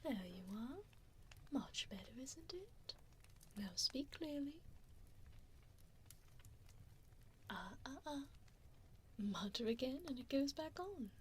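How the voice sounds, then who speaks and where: A woman playing a cruel, haughty vampire hostess in an ASMR roleplay, speaking in breathy whispers and soft voice with condescending, formal diction, close to the microphone. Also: sits high, animated, unhurried, slight background noise. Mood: mocking, controlling. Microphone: close binaural microphone.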